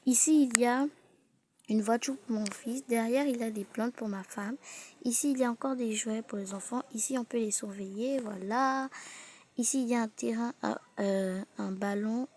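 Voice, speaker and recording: Young female vlog host, fairly high voice, nasally congested and sick, improvised casual delivery with repeats, slightly noisy home-made audio. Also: darkish tone. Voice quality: congested, blocked-nose voice. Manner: halting, repeating words, exclaiming. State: sick with a cold. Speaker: host of a game house tour video. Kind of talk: casual improvised tour commentary. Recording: noisy amateur recording with clicks.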